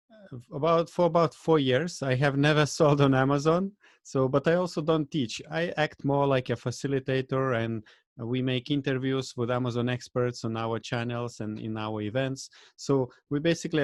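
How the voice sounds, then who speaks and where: A man from Lithuania with a Lithuanian accent, speaking in a video-call interview, halting and full of "uh" fillers, with small grammar slips. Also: medium pitch, no room sound, clean studio sound. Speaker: guest, event organizer. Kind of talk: casual interview chat.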